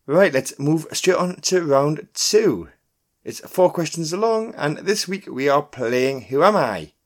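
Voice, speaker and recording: A man hosting a quiz podcast, reading out scripted questions and clues in a deliberate announcing manner. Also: fairly high pitch, animated. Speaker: pub quiz podcast host. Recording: music bed under the voice. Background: background music.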